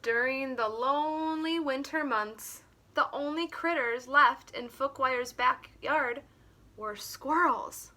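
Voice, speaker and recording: Bright, high-voiced woman reading to children. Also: slow. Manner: expressive, simple explanatory asides to children. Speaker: children's teacher and story reader. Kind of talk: read-aloud story time for young children.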